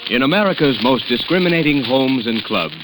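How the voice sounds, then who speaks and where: A man's voice: medium pitch, animated, fairly quick, loud music behind the voice, rough recording. A man reading a 1940s radio commercial as an announcer, polished and emphatic. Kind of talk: 1940s radio advertising read.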